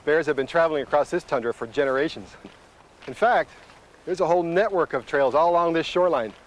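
A man's voice: fairly high pitch, fast talker, a little hiss or hum, rough recording. A middle-aged man working as a wilderness guide, explaining things in a matter-of-fact, instructive way while hiking outdoors. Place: outdoors in open coastal tundra, on the move.

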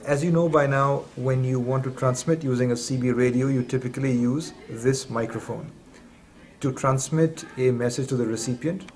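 A man giving a measured, methodical, step-by-step explanation as the presenter of a product tutorial. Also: mid-range voice, a little mellow. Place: indoors at a table in a room. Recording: clearly noisy room recording.

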